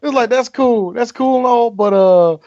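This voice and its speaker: A man co-hosting a podcast in casual movie-review banter, animated and profane, often laughing and dropping into mock voices. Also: very high voice, normal speed, lo-fi sound, a crowd in the background. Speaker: podcast co-host.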